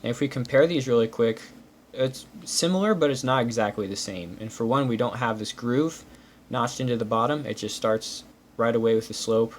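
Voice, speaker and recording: A man's voice: mid-range voice, moderate pace. A man hosting a casual hands-on walkthrough, explaining small details methodically. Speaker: host reviewing building-brick pieces. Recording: clear steady background noise.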